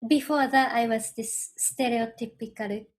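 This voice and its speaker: A woman from Japan, a Japanese native speaker with a Japanese accent, talking thoughtfully as a podcast guest, with pauses and restarts. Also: on the high side, fairly even intonation. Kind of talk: podcast interview.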